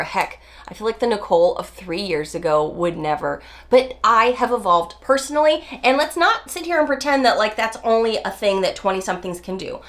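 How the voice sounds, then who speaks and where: A woman in her forties, nasal from a summer cold, chatting to camera as a beauty vlogger in an emphatic, chatty way. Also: mid-range voice. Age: 40s. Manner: chatty, emphatic, drawn-out stresses. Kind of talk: casual talk to camera.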